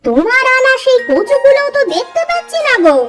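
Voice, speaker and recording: A woman voicing a sharp-tongued young village wife who scolds and mocks her husband. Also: extremely high pitch, sing-song, fairly quick. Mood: surprised, softened. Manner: scolding, sarcastic. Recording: cartoon music under the voice.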